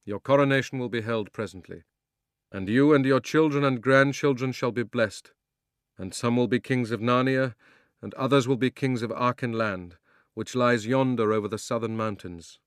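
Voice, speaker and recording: A man reading a storybook, voicing a majestic male talking lion with grave, measured, commanding speech. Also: mid-range voice, clean tone, no room sound, clean studio sound, close to an everyday voice. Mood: solemn.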